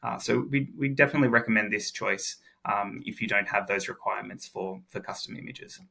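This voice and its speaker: A man with an Australian accent walking through a software demo in a relaxed, chatty way, full of "uh" and "um" fillers. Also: lowish voice, a little fast, creaky, breathy edge. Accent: Australian English. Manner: chatty, frequent "uh" and "um" fillers, repeats words. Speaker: product expert giving a demo. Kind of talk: casual technical demo walkthrough.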